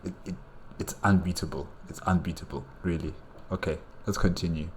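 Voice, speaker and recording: Man, deep voice, casual reaction host, halting, repetitive rambling. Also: fairly even intonation, slight background noise. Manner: rambling, halting, repeats phrases.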